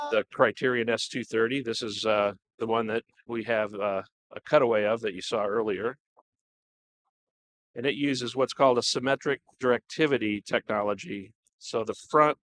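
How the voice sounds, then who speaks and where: Low voiced guy walking through gear at a show, measured and kinda halting, explaining stuff. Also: a bit bright. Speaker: company representative presenting products. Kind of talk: unscripted product presentation at an audio show.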